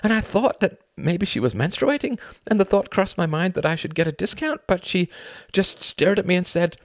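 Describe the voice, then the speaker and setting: A man voicing an anguished, frantic young man in an audiobook, with a high, strained, wailing delivery and breathy gasps. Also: lively intonation, extremely slow, a bit bright, no room sound, full character voice.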